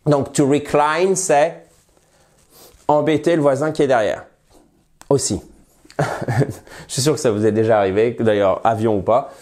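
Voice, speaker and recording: A man teaching English phrases in French, with a head cold, lively and friendly, slowing down to model the English. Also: mid-range voice. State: has a cold, slightly nasal voice. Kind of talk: bilingual language lesson at a whiteboard.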